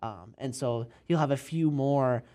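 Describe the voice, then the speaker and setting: A man's voice: mid-range voice, unhurried, mellow. A chatty man hosting an interview. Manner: laughs often, rambling questions. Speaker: interview host. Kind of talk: casual interview chat.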